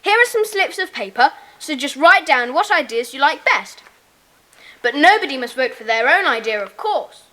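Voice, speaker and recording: A woman playing a bossy, brisk schoolboy club leader in a children's audio drama. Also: sits high, big pitch swings, pushed and bright, heavily acted. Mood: eager, commanding. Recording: faintly noisy cassette tape.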